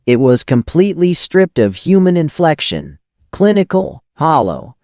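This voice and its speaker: A man's voice: a little high, wildly swooping pitch, a little slow, a little mellow, no room sound, studio quality. A man reading a first-person scripted thriller as an audio drama narrator, in tense, dramatic, clipped phrases.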